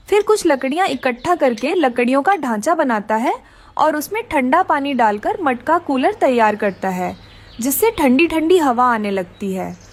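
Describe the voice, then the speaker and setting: A woman narrating a scripted children's story. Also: high voice.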